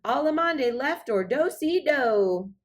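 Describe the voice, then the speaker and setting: A woman's voice: high voice, animated, takes it slowly, pitched above the performer's own voice. A woman voicing a spirited young cowgirl heroine from a children's storybook, calling out in folksy Western exclamations. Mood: spirited, bold.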